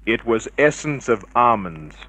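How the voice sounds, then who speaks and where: A cynical, tough private eye from an old radio serial. He, a mid-pitched voice and a clipped, hard-boiled delivery for both narration and confrontation. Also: sing-song, unhurried, sharp tone, heavily acted. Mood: confrontational. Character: tough, cynical male private investigator narrating his case. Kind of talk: 1950s radio crime drama narration and dialogue.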